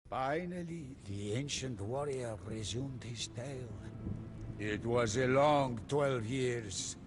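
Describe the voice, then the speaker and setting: An actor playing a solemn storyteller who recounts a medieval warlord's tale in dramatic game cutscene narration. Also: male voice, mid-range voice, creaky. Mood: uneasy, reflective. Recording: music behind the voice.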